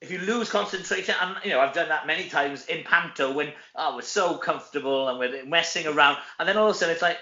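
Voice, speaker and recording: Welsh male interview guest, middle-aged, bright and fairly high voice, rambling chat, echoey room. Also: fast, few pauses. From Wales. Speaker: interview guest, stage actor. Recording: roomy echo, dull lo-fi audio.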